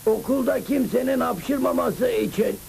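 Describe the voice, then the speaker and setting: Very creaky, high old schoolmaster. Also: male voice, moderate pace, darkish tone, soft background music, exaggerated voice, poor audio. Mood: flustered, sheepish. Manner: hesitant, sheepish. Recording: hissy old cartoon soundtrack.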